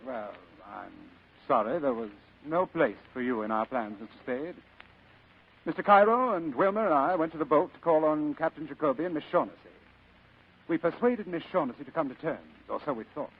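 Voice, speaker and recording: An actor playing a courtly, scheming middle-aged criminal mastermind in 1940s radio style, speaking in florid, formally polite phrases. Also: male voice, fairly high pitch, a little fast, breathy edge. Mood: suave, candid. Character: courtly, scheming older male criminal mastermind, the Fat Man. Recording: muffled vintage radio recording.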